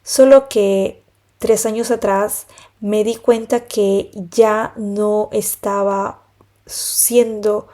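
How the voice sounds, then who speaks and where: Mellow-voiced woman sharing her story. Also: medium pitch. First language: Spanish. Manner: earnest, unhurried, pauses between phrases. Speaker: podcast host, pilates and yoga teacher. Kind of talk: personal reflective monologue.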